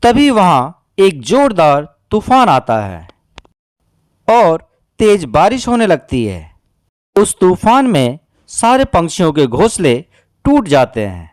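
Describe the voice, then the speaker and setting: A man's voice: high voice, wildly swooping pitch, slow, dry recording, studio quality. A man narrating a scripted children's cartoon story as a storyteller.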